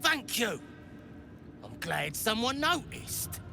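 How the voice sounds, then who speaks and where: An actress voicing a desperate, cringing female goblin who pleads in drawn-out cries in a fantasy video game. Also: fairly high pitch, big pitch swings, creaky, noisy background, exaggerated voice. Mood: relieved.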